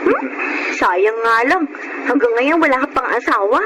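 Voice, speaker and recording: High, lively, caring sister. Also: female voice, moderate pace. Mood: fond, coaxing. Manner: exclamatory, coaxing. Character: caring, nudging married younger sister. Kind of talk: Filipino radio drama acting. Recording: very noisy radio drama recording.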